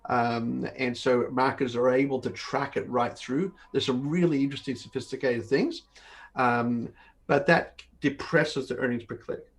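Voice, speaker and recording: A man's voice: medium pitch, fairly even intonation, moderate pace. Man in his fifties explaining on a call. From born in Australia, grew up in northern Canada. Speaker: company host of an online Q&A session. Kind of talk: conversational explaining in a live webinar. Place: home office, indoors. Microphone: video call.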